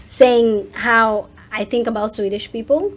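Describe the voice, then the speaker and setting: A woman's voice: fairly high pitch, a little slow. A woman speaking casually as a guest in an interview, talking about herself as a content creator. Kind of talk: casual chat.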